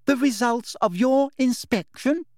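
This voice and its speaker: A man voicing a smug, sickly-sweet older woman school official who speaks slowly and over-enunciates. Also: very high-pitched, no echo, studio quality, voice pushed up. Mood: condescending. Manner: ringing, loud, slow, over-enunciated delivery.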